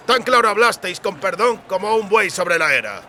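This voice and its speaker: A man's voice: high-pitched, expressive, moderate pace, pushed and bright, slight background noise, voice pushed up, exaggerated voice. A man voicing a brash, jovial mercenary soldier with a hearty, folksy way of talking in an audiobook. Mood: amused, brash. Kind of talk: audiobook character acting.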